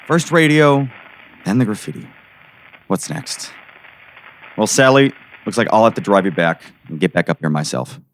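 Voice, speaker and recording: A man's voice: on the low side, moderate pace, pauses often, slight background noise, lightly acted, low-quality recording. A man playing a folksy, exasperated small-town sheriff who grumbles his way through an audio drama. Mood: resigned.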